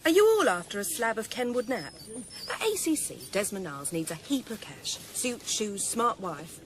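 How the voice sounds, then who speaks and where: A woman's voice: mid-range voice, big pitch swings, normal speed, a bit bright, soft background music. An actress playing a criminal's streetwise, defiant pregnant girlfriend, sardonic and challenging, in a naturalistic radio drama. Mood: mocking, suspicious.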